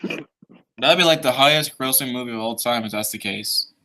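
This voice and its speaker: A man's voice: mid-range voice, moderate pace, pushed and bright, rough recording. A young man hosting a stream, chatting casually in a joking, laid-back way. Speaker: stream host. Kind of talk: casual chat over a call.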